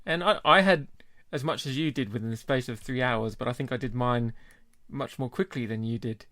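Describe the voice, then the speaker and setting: A man's voice: medium pitch, a little fast, a bit bright, no echo, clean studio sound. A man hosting a casual podcast and trading jokes with his co-host. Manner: jokey, chatty, laughs easily. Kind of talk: casual chat.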